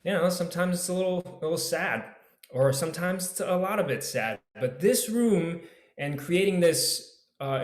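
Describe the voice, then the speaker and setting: A man speaking casually on a panel in a halting, thoughtful manner, as an actor introducing himself. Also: mid-range voice. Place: indoors on a video call. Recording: echoey room sound.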